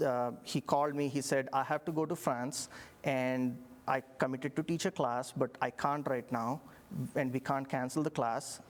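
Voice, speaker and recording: A middle-aged man from India with an Indian accent, a professor recounting his path in a relaxed interview, reflective, with many fillers and restarts. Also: medium pitch. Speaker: engineering professor. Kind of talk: interview storytelling.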